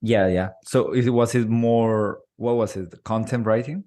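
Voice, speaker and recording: A man's voice: fairly low pitch, fairly even intonation, measured pace, mellow. A man hosting a podcast interview, asking curious questions and giving short reactions. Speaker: podcast host.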